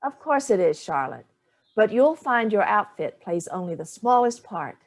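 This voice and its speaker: A woman's voice: medium pitch, big pitch swings, moderate pace. A woman in a staged play reading, playing an imperious, cutting grandmother with a dry, lofty manner.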